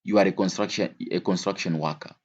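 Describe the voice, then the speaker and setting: Husky man teaching vocabulary. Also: sits low, fairly even intonation. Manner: didactic, repeats key words slowly and clearly; switches between English, Swahili and French. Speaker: English teacher. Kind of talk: language lesson, explaining vocabulary with examples.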